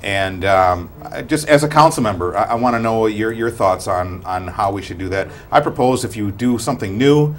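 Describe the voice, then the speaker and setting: A man in his thirties co-hosts a local talk show in a very deep voice. He questions a guest bluntly and opinionatedly, on a lapel mic with a faint hum in the small studio. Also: expressive, moderate pace, few pauses. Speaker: guest co-host.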